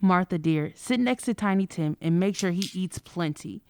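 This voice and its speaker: A woman as a low-voiced, bustling mother. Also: expressive, normal speed, a little mellow, clean tone, close to an everyday voice. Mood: caring. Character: warm, bustling, caring family mother. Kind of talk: old-time radio play acting.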